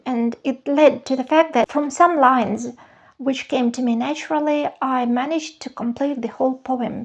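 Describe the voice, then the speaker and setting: A woman speaks in a high voice with a gravelly edge. She talks unhurriedly in a casual vlog, choosing each word with care. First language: Russian. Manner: slow, careful, searching for words. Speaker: vlogger, former university teacher. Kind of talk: casual show-and-tell vlog.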